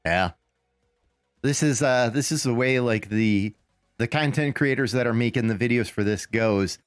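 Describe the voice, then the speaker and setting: A man with a raspy voice, still getting over a lost voice, giving casual live commentary on a game he is playing and thinking aloud as he goes. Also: mid-range voice, normal speed, dry recording, studio quality, faint music behind. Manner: rambling, thinking aloud with fillers and restarts.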